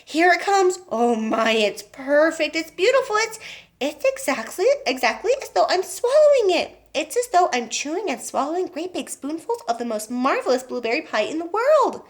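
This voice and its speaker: Lady doing a spoiled gum-chewing girl, drawly and slow, high voice. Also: sing-song. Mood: gushing. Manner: drawling, rude, while chewing.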